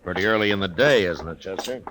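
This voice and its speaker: Low-voiced laconic frontier marshal, old radio. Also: male voice, lively intonation. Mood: wry, easygoing. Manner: laconic, dry, unhurried questioning. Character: steady, dry-humored frontier US marshal in the Old West. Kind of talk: 1950s radio western acting. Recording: noisy vintage 1950s radio recording.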